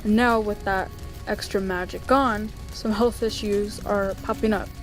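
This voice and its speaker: Woman as easygoing male skeleton big brother, cartoon dub, tired, casual, soft-pedalling, music underneath. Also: mid-range voice, fairly even intonation, unhurried, natural voice. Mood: reassuring, easygoing. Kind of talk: animated comic dub acting. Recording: music behind the voice.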